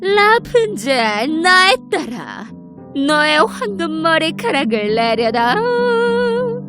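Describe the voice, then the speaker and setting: A woman voicing a commanding witch in a children's fairy tale, calling out loudly in drawn-out words. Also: extremely high pitch, very animated, over music, pitched above the performer's own voice, heavily acted.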